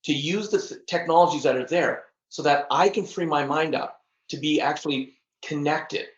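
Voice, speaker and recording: A man's voice: fairly high pitch, moderate pace, dull audio. A middle-aged man speaking earnestly as a podcast guest, often repeating words and laughing at himself. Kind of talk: casual interview chat.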